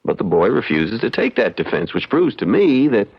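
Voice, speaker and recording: Through a dull old radio recording comes a young frontier lawyer, folksy and shrewd. His low voice swings widely in pitch as he builds a case with deliberate, unhurried logic. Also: very animated, husky, full character voice. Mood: reasoning. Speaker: actor playing a lawyer. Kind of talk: old-time radio mystery acting.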